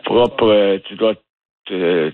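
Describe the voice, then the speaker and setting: A man from the Ottawa region with a Canadian French accent, a guest who talks haltingly and restarts words as he searches for them. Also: lowish voice, no room sound. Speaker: interview guest. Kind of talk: casual phone interview. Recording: tinny dry phone line.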